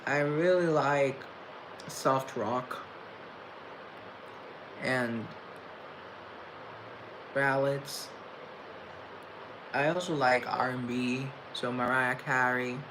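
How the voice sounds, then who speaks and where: A young man hosting a casual livestream, chatting reflectively and reading chat aloud, with a halting, stuttering delivery full of restarts. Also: medium pitch, fairly even intonation. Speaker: livestream host. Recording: noisy room tone.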